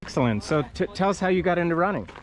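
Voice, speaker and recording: A man's voice: high-pitched, lively intonation, racing. A man hosting a casual field interview at a race event, upbeat with short encouraging replies. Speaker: podcast host interviewing runners. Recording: outdoor event with crowd noise.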